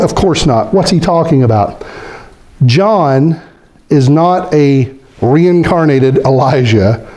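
Animated middle-aged pastor preaching. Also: male voice. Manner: animated, gestures a lot, asks the congregation questions; drops his voice low when quoting scripture figures. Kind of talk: sermon. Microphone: stand mic in front of speaker.

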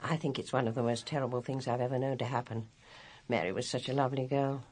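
Gossipy village nurse. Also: female voice, very deep voice, fairly even intonation, fast, a little mellow, breathy edge. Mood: confiding, gossipy. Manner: gossipy, chatty. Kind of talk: radio drama acting.

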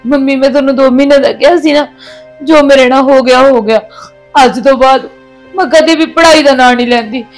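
A woman's voice: sits high, steady tone, brisk, soft background music, natural voice. A woman playing a young daughter-in-law who pleads and defends herself while crying. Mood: tearful. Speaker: actor in a family skit. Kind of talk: domestic drama skit.

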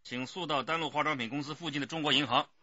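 An actor playing a stern, authoritative police detective chief in a radio crime drama. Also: male voice, fairly high pitch, steady tone, fast, dull audio, background noise, natural voice. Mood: brisk, urgent. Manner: authoritative, pressing.

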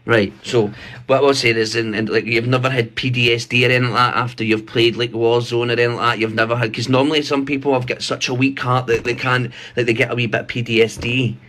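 A man's voice: mid-range voice, little pitch movement, fast, a bit bright. A man in his thirties making a prank phone call in a rambling, mock-official manner, recorded on a phone in a bedroom. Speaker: prank caller. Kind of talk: prank phone call posing as army recruiter. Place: small bright bedroom indoors.

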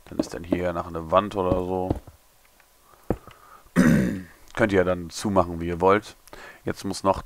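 A young man, a little hungover and hoarse, mumbling and thinking aloud as he builds in a game tutorial. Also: low-pitched, flat delivery, measured pace, long pauses, a little hiss or hum. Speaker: gaming tutorial creator. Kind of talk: casual commentary while building.

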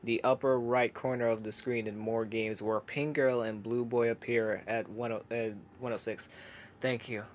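Young male creator, casual comment-reading vlog, hushed delivery, chatty and halting. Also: medium pitch, flat delivery, a little hiss or hum. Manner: chatty, hesitant, many fillers and restarts; kept quiet so family can sleep. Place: home laundry room.

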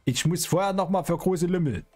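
Guy streaming a roleplay game, kinda high husky voice, drawn out teasing way of talking. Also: brisk, dark tone. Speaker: streamer playing a role-play game. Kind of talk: casual in-character gaming chat. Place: indoor streaming room. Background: music.

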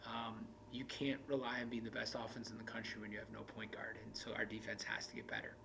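Gravelly guy coach, flat delivery, keeps restarting, sitting in a media room with background noise. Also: lowish voice. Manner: candid, halting, many restarts and trailing off. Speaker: basketball coach. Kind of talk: post-game interview. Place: indoor media room in front of a backdrop.

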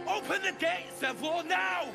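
Panicked fighter, very high strained shout, gravelly, desperate, fantasy game, loud music. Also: male voice, unhurried, heavily acted. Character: panicked male fighter fleeing goblins. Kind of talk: cinematic fantasy game acting.

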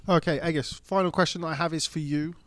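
A man's voice: on the high side, fairly even intonation, fast, fairly bright tone, a little hiss or hum. A man interviewing a boxing manager, asking long, rambling questions.

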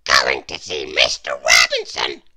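A man's voice: a bass voice, huge pitch swings, unhurried, vocal fry, bright, forceful tone, pitched below the performer's own voice, exaggerated voice. A man voicing a tiny, polite, earnest little storybook man with a strained, partly whispery, theatrical voice.

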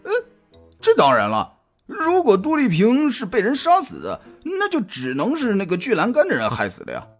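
Husky, animated, chatty detective played by a man. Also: high voice, full character voice. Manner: halting, colloquial, with drawn-out hesitations. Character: jovial, chatty male private detective, easygoing friend. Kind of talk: audio drama dialogue. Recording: music behind the voice.

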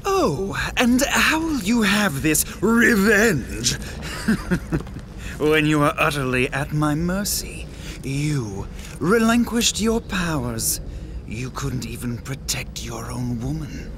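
A man's voice: on the high side, big pitch swings, takes it slowly, background noise. An actor playing a sadistic, gloating male villain in a fantasy game, mocking and theatrical, drawing out his words. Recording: music behind the voice.

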